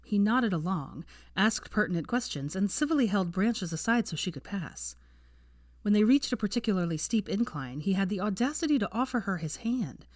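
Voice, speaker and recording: Expressive woman narrating fiction, lively intonation. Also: medium pitch, moderate pace, no echo, studio quality. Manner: expressive, dramatic delivery. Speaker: narrator of fan fiction readings. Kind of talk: scripted story narration.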